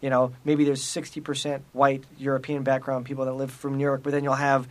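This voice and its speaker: A man's voice: mid-range voice, monotone, a little mellow. A man co-hosting a podcast, rambling through his points with many fillers like "you know" and "like". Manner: rapid, rambling, full of fillers. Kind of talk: casual discussion.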